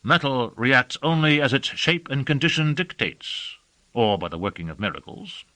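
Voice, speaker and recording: Man as a haggard, sharp-toned learned conjurer. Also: medium pitch, expressive, no room sound, voice pushed up, poor audio. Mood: grave, explaining. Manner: didactic, measured. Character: haggard, learned male demon-conjurer lecturing his lord.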